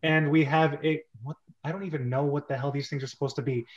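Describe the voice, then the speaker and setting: A man's voice: a little high, fairly even intonation, fast, dull audio. A man hosting a casual group script reading, chatty and joking, breaking his narration with asides. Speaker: host and script reader. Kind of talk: casual group chat and stage-direction reading.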